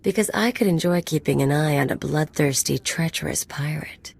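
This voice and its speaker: An actress playing a swaggering, flirtatious female enforcer in a video game, husky, sultry and taunting, with playful menace. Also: sits low, moderate pace, darkish tone, heavily acted. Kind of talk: video game character acting.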